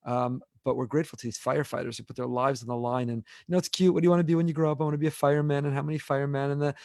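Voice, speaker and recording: A man's voice: mid-range voice, steady tone, rapid-fire, darkish tone, no room sound, clean studio sound. A man in his forties, a rabbi hosting an unscripted podcast discussion, talking earnestly and repeating words as he builds his point. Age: 40s.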